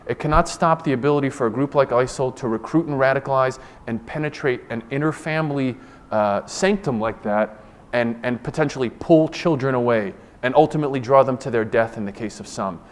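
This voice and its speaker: A man in his forties from Ohio, giving a formal conference presentation as a government official. He speaks earnestly and deliberately, often restarting and repeating words. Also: medium pitch. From Ohio, United States. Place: conference room.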